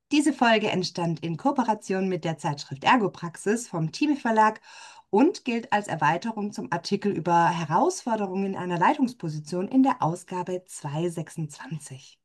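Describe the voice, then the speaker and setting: A woman co-hosting a podcast, chatting in a lively way and laughing often. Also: on the low side, moderate pace. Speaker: podcast co-host. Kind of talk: podcast chat.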